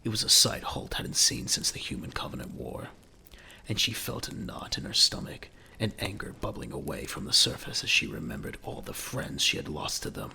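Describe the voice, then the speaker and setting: A man's voice: on the low side, huge pitch swings, moderate pace, creaky, sharp tone, a little hiss or hum. A man narrating a scripted audio drama in a dramatic storytelling style.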